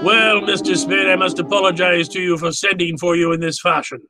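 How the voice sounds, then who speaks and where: An actor playing a courtly, gloating villain in a 1940s-style radio drama, with a florid, pompous, theatrical delivery. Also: male voice, high voice, flat delivery, brisk, over music, lightly acted. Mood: courteous. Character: courtly, gloating, verbose male villain, obsessive collector.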